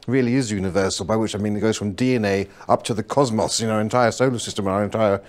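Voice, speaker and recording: A man on a studio panel, speaking in a quick, indignant way. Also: on the low side, fairly even intonation. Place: small studio set with armchairs.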